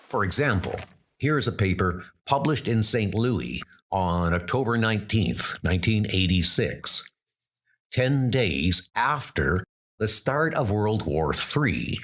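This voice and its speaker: A man playing an earnest schoolteacher-historian in a comic adventure, deliberate and probing. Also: fairly low pitch, fairly bright tone, heavily acted. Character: earnest, bookish male schoolteacher turned wartime historian.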